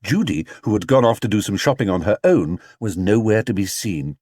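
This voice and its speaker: A man narrating a children's storybook as an audiobook reader, with expressive, measured storytelling. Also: fairly low pitch, no room sound, clean studio sound.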